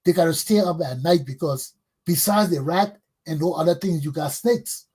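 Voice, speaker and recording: A man's voice: a little high, normal speed, darkish tone, husky. A middle-aged man with a Liberian accent delivers an impassioned, combative political monologue as a talk show host, emphatic and preachy, hammering phrases through repetition.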